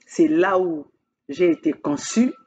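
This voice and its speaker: A woman from Congo, addressing her camera as a political activist in an emphatic, repetitive way, halting often on fillers. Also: fairly low pitch, mellow, muffled recording, slight background noise. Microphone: speaking to own camera.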